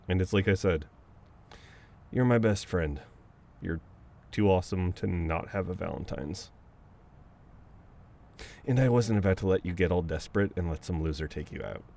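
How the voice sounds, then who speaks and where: A man playing a caring, teasing, dominant best friend in an intimate audio roleplay, talking casually and conversationally. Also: sits low. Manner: casual, conversational, with soft chuckles and hesitations.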